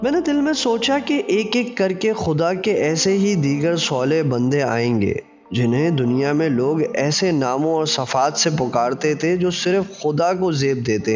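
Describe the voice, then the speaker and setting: A man reading a religious novel as scripted narration, with a formal literary delivery. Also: a little high. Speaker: audiobook narrator. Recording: dull lo-fi recording with music behind. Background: background music.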